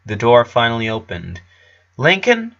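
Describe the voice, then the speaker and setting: A man narrates a story in a bright, forceful voice at an average pitch. His reading is amateur storytelling, on a slightly dull recording. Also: unhurried.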